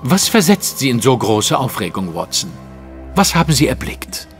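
A man playing a cool, sceptical Victorian detective in an audio drama, speaking precisely and formally with a husky, condescending tone. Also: mid-range voice, very animated, moderate pace, long reverb tail, soft background music. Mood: curious. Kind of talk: theatrical German audio drama acting.

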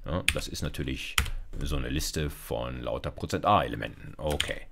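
A man's voice: extremely low pitch, normal speed, vocal fry, very noisy. A middle-aged man giving an informal programming lecture, explaining step by step and addressing listeners directly in a conversational way. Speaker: university lecturer. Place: indoor recording setup, screencast lecture.

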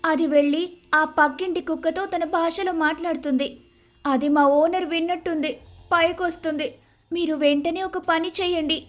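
A woman playing a hot-tempered young daughter-in-law, shrill, scolding and dramatic, in an animated moral story. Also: moderate pace. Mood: bossy, anxious.

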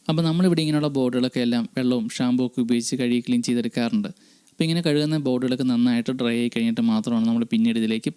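A man's voice: mid-range voice, a little mellow, smooth. A man, hosting an electronics repair channel and narrating a restoration tutorial step by step. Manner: explanatory, step by step, addressing viewers.

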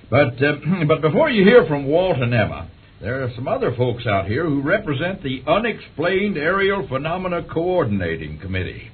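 A folksy male country radio host who loves his food. His voice sits fairly high and moves around a lot. The old radio drama sounds thin and phone-like, with some hiss. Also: full character voice. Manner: folksy, hammy, throat-clearing. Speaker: radio show host character.

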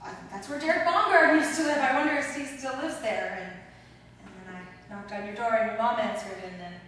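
A woman playing a nervous, flustered visitor in an amateur stage comedy, halting and stammering as she talks. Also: a little high, a bit bright, close to an everyday voice. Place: large rehearsal stage set, camera far off. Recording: echoey hall from far off, faint noise.

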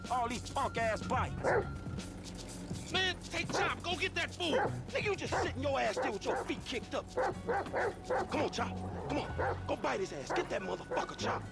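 A fed-up young male street gangster in a video game. He argues and complains in a very high, animated voice over loud music. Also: normal speed, vocal fry, full character voice. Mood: urgent, annoyed. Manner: complaining, argumentative. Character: exasperated young male gangster, weary of small hustles. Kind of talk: video game voice acting, slangy street talk.

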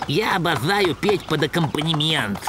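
Brief: chirpy cartoon mouse boy, lively up-and-down voice, somewhat high , voiced by a man, noisy dub track. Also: moderate pace, close to an everyday voice. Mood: eager. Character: small cheerful boy mouse sidekick. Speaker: voice actor in a dubbed cartoon.

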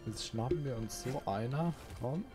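A man's voice: mid-range voice, normal speed. A man doing casual let's play commentary, musing aloud and chatting to his viewers. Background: game music.

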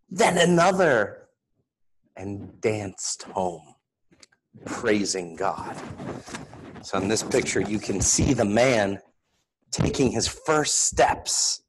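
A middle-aged man, a priest, reading a children's Bible story aloud in an expressive, deliberate way at a desk in a small room. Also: fairly low pitch, a little hiss or hum, poor audio.

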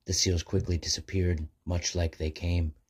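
A man narrating a rhyming children's bedtime story in measured, sing-song verse. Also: low voice, level tone, husky.

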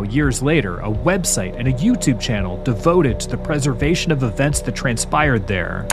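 A man delivers scripted horror narration as a host. His pitch moves in a lively, expressive way, giving the storytelling an ominous, dramatic feel. Music plays clearly underneath his voice. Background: background music.

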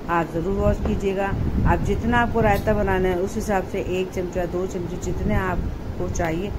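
Home cook host, female, mid-pitched, casual step-by-step explainer, noisy home recording. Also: fairly even intonation, normal speed. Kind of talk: casual recipe tutorial narration.

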